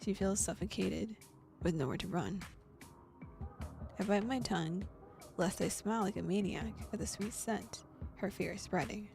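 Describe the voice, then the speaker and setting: Female streamer, gravelly timbre, reads game text aloud with lively reactions; faint music bed. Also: medium pitch, normal speed, pauses often. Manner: animated reactions, gasps and laughs between read passages. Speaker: streamer reading a visual novel aloud. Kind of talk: game playthrough, reading text plus reactions.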